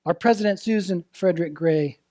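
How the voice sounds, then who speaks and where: A man delivering a sermon as a preacher, earnest and deliberate, putting rhetorical questions to his listeners with dramatic phrasing. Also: high-pitched, mellow, dry recording, clean studio sound. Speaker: preacher, ministerial intern. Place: church service, speaking to a congregation.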